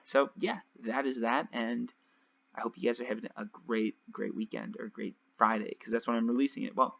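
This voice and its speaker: A young man casually commentating his gameplay nonstop, his voice a little raspy from long talking. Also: medium pitch, steady tone, brisk, fairly bright tone. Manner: chatty, rambling, nonstop talk with fillers. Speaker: let's play host. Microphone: home desk mic. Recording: dull lo-fi home mic.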